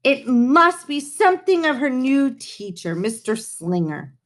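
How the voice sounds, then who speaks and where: Woman playing a puzzled mother mouse, animated. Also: sits high, a little slow, voice pushed up. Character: puzzled mother mouse fretting about her daughter.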